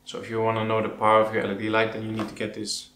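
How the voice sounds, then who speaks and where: A Dutch man with a fairly low voice speaks casually to his viewers, often pausing to think. His intonation stays very flat. The recording has a clear room echo and faint noise behind him. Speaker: host of an aquascaping channel. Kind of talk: casual question-and-answer talk to camera. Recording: roomy echo with background noise.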